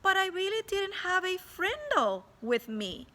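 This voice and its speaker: A woman doing a high-pitched, innocent cheeky schoolboy. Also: sing-song, unhurried, pushed and bright, full character voice. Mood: cheeky, brave. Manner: blank, mock-innocent. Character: cheeky, brave schoolboy feigning wide-eyed innocence. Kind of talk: storybook read-aloud character.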